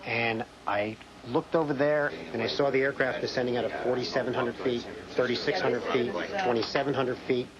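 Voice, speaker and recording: A man's voice: medium pitch, steady tone, moderate pace, hardly any pauses, highs cut off, a crowd in the background. A man with an American accent giving a first-hand account in an interview as an air traffic controller.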